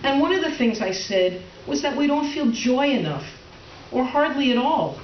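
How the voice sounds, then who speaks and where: Casting: woman as resentful middle-aged wife, stage comedy; dry, over-polite sarcasm, animated intonation, slightly dull recording. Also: high voice, normal speed. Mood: guilty, candid. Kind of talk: comic readers theater acting and narration. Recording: roomy, distant, dull, faintly noisy stage recording.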